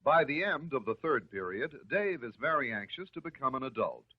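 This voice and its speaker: A man's voice: medium pitch, sing-song, slightly rough. Tinny 1950s American male film narrator. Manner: measured, instructive, reassuring. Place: voice-over recorded for film. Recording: muffled, narrow-band 1950s film soundtrack.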